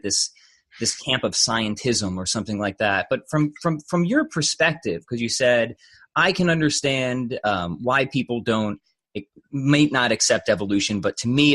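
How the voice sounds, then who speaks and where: A man's voice: medium pitch, fairly bright tone, slightly rough. A young man hosting an interview podcast, enthusiastic and rambling with frequent restarts. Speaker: podcast host. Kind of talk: casual interview chat.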